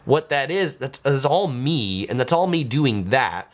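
Conversational middle-pitched man hosting a livestream. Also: sing-song, a little slow. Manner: conversational, talking as if to one person. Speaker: host of a travel video channel. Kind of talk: casual livestream chat, reading and answering viewer comments. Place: small home studio, indoors.

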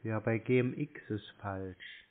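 A man chatting casually on the telephone as a customer, laconic and deadpan. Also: lowish voice, a little slow. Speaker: prank caller posing as customer. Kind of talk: casual phone chat. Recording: muffled narrowband phone line.

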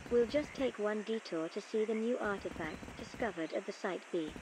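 A woman's voice: medium pitch, moderate pace, natural voice. Woman acting an earnest archaeologist over noisy game ambience. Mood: intrigued, businesslike. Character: earnest, composed female archaeologist leading a jungle expedition. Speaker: game character recording audio logs. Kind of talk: scripted audio-log narration, game voice acting.

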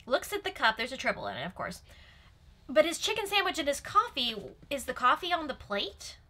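Casting: young woman, animated and high-voiced, bright delivery, casual watch-along commentary. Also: brisk. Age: young adult. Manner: animated, exclaiming, recapping what is on screen. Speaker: guest co-host reacting to a TV episode. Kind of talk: casual reaction chat. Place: small furnished room indoors.

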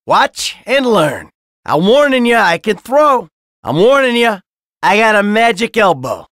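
An actor with an American accent playing a jaded, hot-tempered middle-aged criminal in a video game, sardonic, profane and exasperated. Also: male voice, sits high, sharp tone, no room sound, clean studio sound, full character voice. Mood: smug, taunting.

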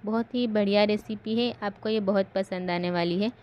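A woman's voice: mid-range voice, normal speed, lo-fi sound. Woman narrating a home recipe. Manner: calm step-by-step instruction. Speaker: cooking channel host.

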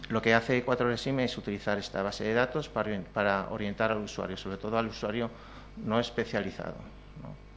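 A man's voice: fairly low pitch, steady tone, a little fast, a bit bright, a little hiss or hum. A man with a Spanish accent presenting a technical talk at a conference, hesitant with many fillers and restarts. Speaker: conference speaker. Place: lecture hall with projected slides.

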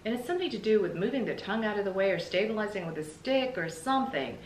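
A middle-aged woman reads a children's story aloud with expressive, deliberate phrasing. Her pitch is medium. The room adds noticeable echo, and a faint rumble sits underneath. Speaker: teacher reading aloud to children. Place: indoors in a room with bookshelves.

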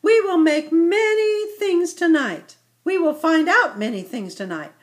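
A gentle, patient mother ibex from a children's storybook, as a woman reading aloud puts her on. Her voice sits high and lilting, soothing and motherly. She speaks with a slow, reassuring calm.

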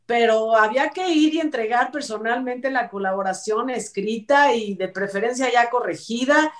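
A woman's voice: on the high side, normal speed. A middle-aged woman with a Mexican accent giving casual commentary as a panelist on an entertainment show. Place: indoor room, video call. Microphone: video call mic at a distance. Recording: echoey room, distant mic.

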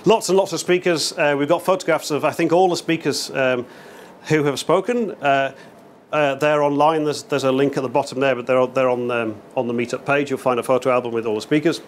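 Guy, middle-aged, chatty upbeat meetup talk with lots of uhs, mid pitch, faint room hum. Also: fairly quick. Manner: chatty, upbeat, many fillers and restarts. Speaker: meetup organizer giving a lightning talk. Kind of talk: casual conference talk. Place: small event venue indoors, in front of an audience.

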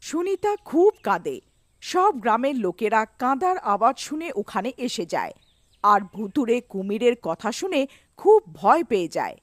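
A woman's voice: on the high side, sing-song, moderate pace, no room sound, studio quality. A woman narrating a scripted cartoon story as its storyteller.

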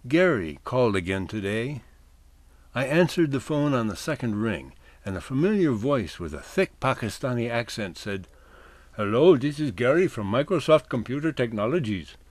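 Wry, animated man reading an essay. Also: mid-range voice, moderate pace, no echo. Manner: wry, literate storytelling. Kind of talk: scripted humorous essay narration.

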